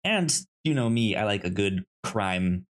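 A podcast co-host chatting casually and pitching movies in a halting way, often stammering and repeating syllables. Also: animated.

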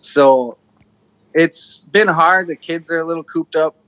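A man's voice: a little high, measured pace, a bit bright, rough recording. A man in his thirties from Minnesota, giving casual, conversational answers as an interview guest. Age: 30s. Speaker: interview guest, casino team supervisor.

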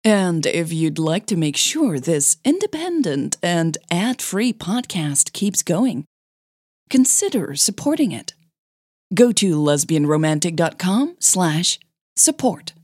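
A woman's voice: fairly low pitch, sing-song, slow pace, darkish tone, no room sound, studio quality. A woman hosting a fiction podcast, giving announcements and narrating stories.

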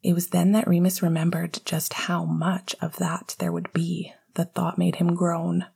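A woman narrating a story in a soft, intimate whisper. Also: lowish voice, little pitch movement, unhurried, gravelly, breathy edge. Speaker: audiobook narrator.

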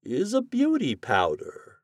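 A man voicing a fretful, bargaining storybook wizard with a drawn-out, emphatic delivery. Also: sits high, huge pitch swings, no echo, clean studio sound, voice pushed up, heavily acted. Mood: cautious bargaining.

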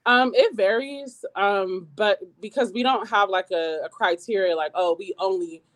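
A woman with a squeaky voice talks earnestly about her organizing work as a radio guest. She pauses often with fillers and restarts. Her pitch sits in the middle. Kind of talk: radio interview.

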